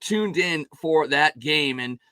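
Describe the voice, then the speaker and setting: A man hosting a sports talk show, his voice raspy and hoarse from calling games, chatting in an upbeat, casual way with many restarts. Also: a little high, lively intonation, slow, pushed and bright, no room sound, clean studio sound.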